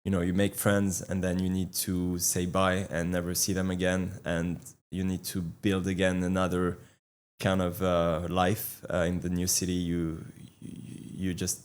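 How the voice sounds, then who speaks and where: A man's voice: sits low, monotone, measured pace, clear, resonant tone. A young man with a French accent, a guest on an interview show, talking earnestly with many fillers and repeated words. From France. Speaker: guest, athlete and coach. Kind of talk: casual interview talk.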